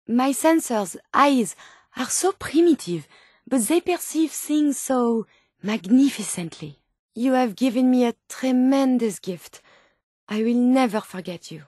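Female robot companion, French accent, slightly high pitch, dry studio sound, formal, precise, inquisitive, earnest, video game character. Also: darkish tone, slightly rough, dry recording, heavily acted. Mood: tender, wistful.

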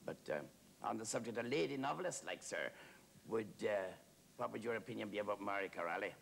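A man's voice: on the low side, expressive, a little fast, creaky, fairly bright tone, slight background noise, voice pushed up, heavily acted. A man playing a naive, talkative working-class warder who rambles deferentially in a theatrical monologue. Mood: scandalised, eager. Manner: rambling, hesitant, repetitive, with deferential address.

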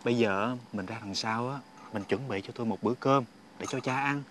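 An actor playing a polite, dutiful young husband. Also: male voice, medium pitch, brisk, fairly bright tone, slight background noise, natural voice. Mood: reassuring. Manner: polite and eager to please. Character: dutiful young husband who takes in an old man. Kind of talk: television folk-tale drama.

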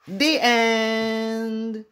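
A man with a Filipino accent reading a children's storybook aloud, expressively and deliberately. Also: sits high, completely flat delivery, fairly bright tone. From Philippines. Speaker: children's book read-aloud host.

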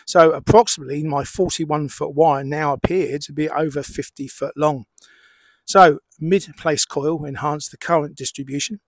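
A middle-aged man explaining and demonstrating as a hobbyist presenter, in measured short phrases with pauses. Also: fairly high pitch, fairly even intonation, dry recording. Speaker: amateur radio hobbyist presenter.

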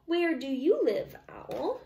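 A woman's voice: sits high, big pitch swings, takes it slowly, dark tone, slight background noise, voice pushed up, full character voice. A woman voicing an eager, fussy city fox in a children's storybook, with emphatic, exclaiming delivery. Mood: polite, asking. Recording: roomy, echoey, distant microphone.

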